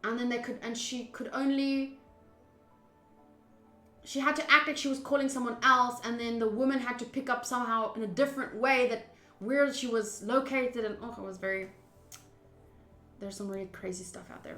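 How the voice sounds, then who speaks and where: A woman's voice: a little high, fairly even intonation, moderate pace, bright, forceful tone, roomy sound, faint music behind. A woman streamer doing a game playthrough, reading text aloud and adding casual commentary.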